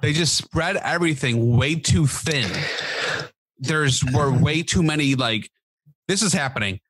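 A man's voice: on the high side, expressive, measured pace, a bit bright, no echo, rough recording. A man in his thirties co-hosting a podcast with casual, opinionated chat on a close microphone. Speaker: podcast co-host. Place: indoor home room, remote video call.

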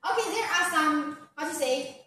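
High bright echoey Chinese-accented saleswoman. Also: animated, moderate pace. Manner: eager, repeats "okay" and "wow", non-native phrasing. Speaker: sales presenter. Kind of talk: live product sales stream. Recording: very echoey room.

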